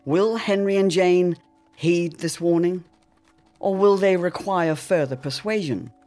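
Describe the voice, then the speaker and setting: An announcer narrating a serial audio drama in a formal, measured, old-time radio style with theatrical flair. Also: mid-range voice, darkish tone, soft background music.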